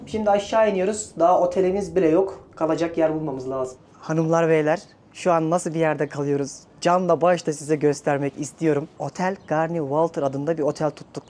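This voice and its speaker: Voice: mid-range voice, darkish tone. A travel vlogger from Turkey talking casually and conversationally, addressing viewers directly.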